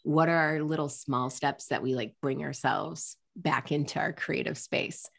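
A woman's voice: low-pitched, fairly even intonation, unhurried, vocal fry. A woman hosting a podcast interview, talking in a reflective, encouraging way with lots of "like" fillers. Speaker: podcast host. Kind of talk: casual interview chat.

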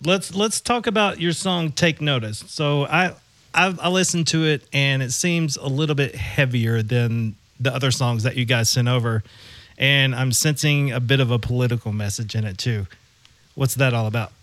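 A man's voice: mid-range voice, normal speed, a bit bright, dry recording. A man hosting an interview, asking band members easygoing questions. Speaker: podcast host.

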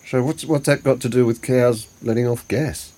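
A man's voice: medium pitch, steady tone, moderate pace, warm tone, close to an everyday voice. An actor playing an elderly Australian grandfather in an audio drama, colloquial, plain-spoken, grumbling and affectionate. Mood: puzzled. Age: older. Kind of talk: naturalistic audio drama dialogue.

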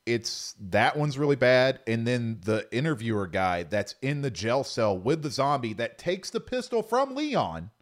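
A man's voice: medium pitch, big pitch swings, measured pace, hardly any pauses. A man talking casually as a podcast panelist, criticising films in an emphatic, exasperated way. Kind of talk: casual film criticism chat.

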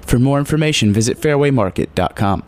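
A man's voice: mid-range voice, lively intonation, fast talker, darkish tone. A man reading a sponsor message and station identification for a radio network. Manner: smooth, promotional. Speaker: announcer. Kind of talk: scripted sponsor read and station ID.

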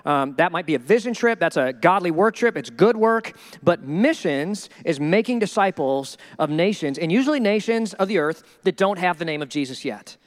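High-voiced animated pastor preaching. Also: male voice, moderate pace, few pauses, big-room sound. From Minnesota. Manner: animated, jokey, acts out quoted people. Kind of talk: sermon.

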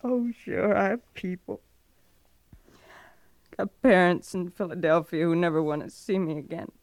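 An actress playing a grieving, bitter and stubborn young frontier widow in a radio western, with a drained, dramatic delivery. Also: female voice, on the low side, a little slow, a little mellow, no echo. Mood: bitter, resolute. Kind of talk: 1950s radio western acting.

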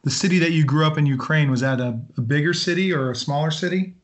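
A man hosting a podcast, asking his guest questions in a friendly, halting way. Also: a little high. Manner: friendly, halting, many ums and restarts. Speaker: podcast host. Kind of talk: casual interview.

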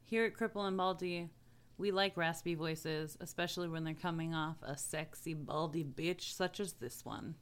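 A woman's voice: deep voice, fairly even intonation, normal speed. A woman co-hosting a casual chat podcast, teasing and crude in her jokes. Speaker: podcast co-host.

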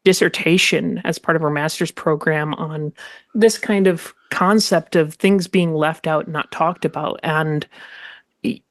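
A woman's voice: deep voice, steady tone, a little slow, no echo. A woman co-hosting a podcast, moving between scripted reading and personal discussion. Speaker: podcast host.

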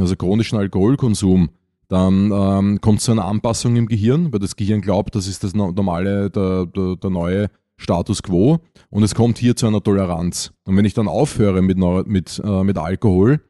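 A man's voice: fairly low pitch, fairly even intonation, fairly quick, warm tone, no room sound, clean studio sound. A man with an Austrian accent co-hosting a podcast, explaining things informally and colloquially, often restarting his sentences as he goes. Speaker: podcast co-host.

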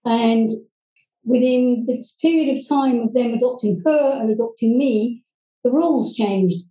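A middle-aged woman from Hong Kong, telling her life story as a guest, earnestly and haltingly, with many ums and restarts. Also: a little high. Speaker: guest, private English teacher. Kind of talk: personal storytelling in interview. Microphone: video call. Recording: distant, roomy, dull video-call audio.

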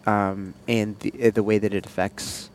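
A man co-hosting a casual couple's podcast, chatting in a relaxed, playful way, with sniffles from allergies. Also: moderate pace, slight background noise. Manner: laid-back, playful, jumps up in pitch when excited. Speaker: podcast co-host.

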